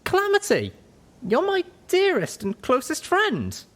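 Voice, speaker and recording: A man's voice: extremely high pitch, huge pitch swings, a little slow, a bit bright, pitched above the performer's own voice, full character voice. A man voicing an anxious, devoted young female pony adventurer in an audiobook, earnest and fretful. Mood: earnest, pleading. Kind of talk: audiobook character voice.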